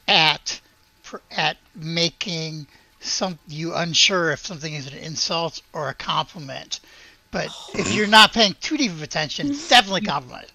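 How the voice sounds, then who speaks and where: A woman acts as game master for a casual tabletop roleplaying session. Her voice sits fairly low for a woman and has a bright, forceful edge. She moves easily between narrating and speaking in dialogue. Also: dry recording, rough recording.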